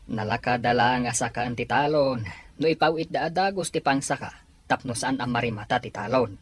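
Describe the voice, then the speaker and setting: A woman's voice: extremely low pitch, brisk, husky, dry recording. A woman voicing a resigned old farming father in an Ilocano audio drama, with a Filipino Ilocano accent.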